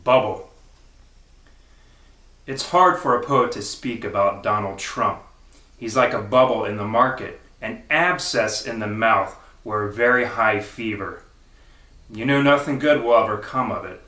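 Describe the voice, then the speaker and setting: A man's voice: fairly low pitch, a little slow, bright, forceful tone. A man reading poetry aloud with a wry, emphatic delivery. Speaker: poetry reader. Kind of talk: poetry recital. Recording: roomy, echoing recitation.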